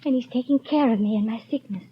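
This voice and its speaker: Frail dying young mother, whispery and raised in pitch, on a muffled vintage radio play. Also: fast, husky. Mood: whispered longing. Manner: breathless, often whispering. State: weak from illness. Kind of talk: 1930s radio drama acting. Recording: dull lo-fi 1930s radio broadcast, faint hiss.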